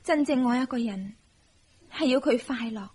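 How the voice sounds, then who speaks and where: An actress with a Hong Kong Cantonese accent playing a young, devoted, self-sacrificing wife in a radio drama, plaintive and earnest. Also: female voice, fairly high pitch, normal speed, darkish tone, breathy edge. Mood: sad, pleading.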